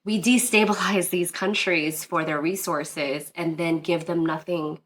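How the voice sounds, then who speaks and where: A woman's voice: lowish voice, steady tone, unhurried, a little hiss or hum. A woman from Northern Virginia, a DJ guest, telling a personal story in a casual interview, earnest and rambling with many fillers and restarts.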